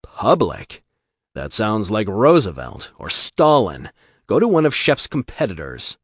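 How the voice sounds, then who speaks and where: A commanding tycoon lays down the rules in clipped, firm sentences, as a man reads him out. The voice sits low and moves with a lively pitch. It is recorded close and dry. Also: measured pace, slightly rough, no echo, full character voice. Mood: stern. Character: stern powerful older businessman, cold and commanding.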